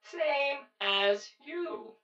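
A man voicing a shy old porcelain boy automaton magician, speaking slowly, gently and playfully. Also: very high voice, expressive, lo-fi sound, pitched above the performer's own voice, heavily acted. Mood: playful gentle.

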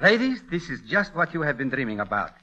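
A pushy landlord in an old radio comedy, talking in grand, florid salesman's patter. His voice is high and bright, and it swings widely as he sells. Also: sing-song, a little fast, highs cut off. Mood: grandly persuasive. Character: pushy, grandiloquent male landlord talking up a shabby basement flat. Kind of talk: 1940s radio comedy acting. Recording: vintage radio broadcast recording.

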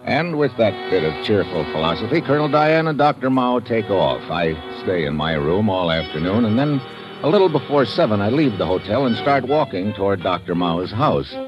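A man's voice: on the low side, big pitch swings, moderate pace. An actor playing a wisecracking, sardonic American adventurer who narrates his own story in a mid-century radio drama. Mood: tense, wry narration. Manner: sardonic, slangy. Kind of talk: 1940s-50s radio adventure acting, first-person narration. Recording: dull old radio recording with loud music.

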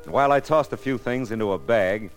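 An actor playing a world-weary, wisecracking private detective with an American accent and a sardonic, clipped delivery in 1940s radio noir style. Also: male voice, fairly low pitch, expressive, fast talker, music in the background, lightly acted. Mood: wry narration.

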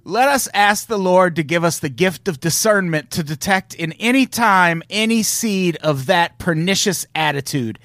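A man's voice: high voice, lively intonation, normal speed, few pauses, a bit bright, dry recording, studio quality. A man hosting a casual comedy chat, bringing up stories and reading out quotes. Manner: leads topics, reads out quotes. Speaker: podcast host reading news stories.

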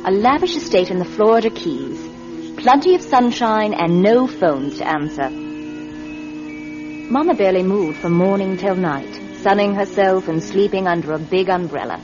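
Animated, scheming big sister played by an actress. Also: female voice, mid-range voice, normal speed, close to an everyday voice. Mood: confiding narration. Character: scheming, sharp-tongued grown daughter and big sister. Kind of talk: old-time radio drama acting and narration. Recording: dull vintage radio, music behind.

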